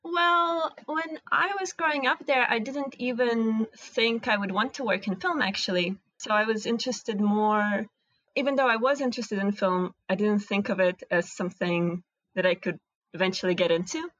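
Russian accented woman in an interview, thinking out loud, kinda muffled and dry. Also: on the high side, moderate pace, no echo. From Latvia and Russia. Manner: thoughtful, searching for words. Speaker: interview guest, animation technical director. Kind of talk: casual interview conversation.

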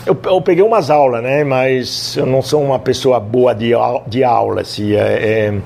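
A man in his sixties from France, a chef speaking Portuguese with a French accent, telling stories in a relaxed interview with many fillers. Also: medium pitch, normal speed, vocal fry, a little hiss or hum. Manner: storytelling, hesitant with many fillers, laughs easily. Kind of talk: casual interview talk.

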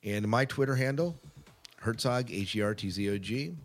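Low-voiced man hosting a casual podcast. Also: normal speed. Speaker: podcast co-host. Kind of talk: casual podcast talk to listeners.

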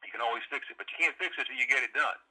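A mature man speaking as an interview guest, explaining things in a measured way over a thin, telephone-like connection. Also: medium pitch, sharp tone, slightly rough. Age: middle-aged or older. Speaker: interview guest, author and lawyer.

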